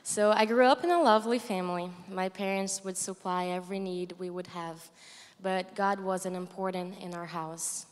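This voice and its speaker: A young woman from Brazil with a Brazilian Portuguese accent, reading her prepared testimony aloud in an earnest, measured way into a handheld mic on a stage. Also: medium pitch, fairly even intonation, smooth. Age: young adult. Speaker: student giving a testimony. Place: large dark stage hall. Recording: long hall reverb.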